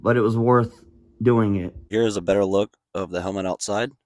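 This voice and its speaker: A man's voice: on the low side, fairly even intonation, normal speed, a little mellow. A man speaking casually and plainly to viewers as he hosts a hobby video walkthrough.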